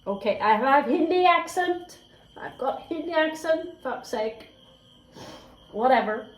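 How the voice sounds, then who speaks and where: A woman's voice: sits high, lively intonation, unhurried. A woman giving a casual tarot reading, candid and chatty, swearing freely and dropping into whispered asides. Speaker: tarot reader. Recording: roomy echo, faint crickets.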